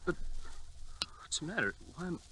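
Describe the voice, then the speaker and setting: An actor playing a trusting young son going under anesthetic in a 1940s radio drama, mumbling faintly and haltingly. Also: male voice, a little high, very animated. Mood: sleepy, uneasy. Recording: noisy old radio recording, faint voice.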